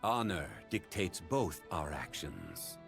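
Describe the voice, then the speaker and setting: Game role: solemn guardian ninja, very deep and unhurried, formal, calm authority. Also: male voice, gravelly, over loud music, exaggerated voice. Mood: calm, grave. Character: calm, honorable male ninja guardian of balance. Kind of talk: scripted game character voice lines.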